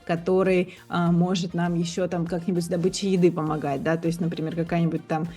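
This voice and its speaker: A woman's voice: on the low side, level tone, fast talker, dark tone, husky, faint music behind. A woman co-hosting a casual discussion, tentatively thinking aloud and rephrasing as she goes. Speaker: podcast co-host.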